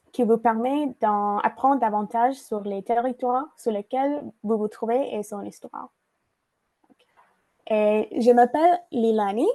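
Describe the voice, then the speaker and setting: A woman leads a virtual workshop through her computer mic. Her tone is mellow and warm. She reads from notes, often hesitating and starting phrases over. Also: on the high side. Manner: frequent hesitations and restarts, reading from notes. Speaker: workshop facilitator. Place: online video call.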